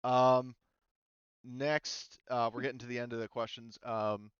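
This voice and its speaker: Smooth-voiced man running a tabletop game. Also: mid-range voice, flat delivery, measured pace, no echo, dull audio. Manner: casual, profane. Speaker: game master. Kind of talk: casual tabletop game session talk.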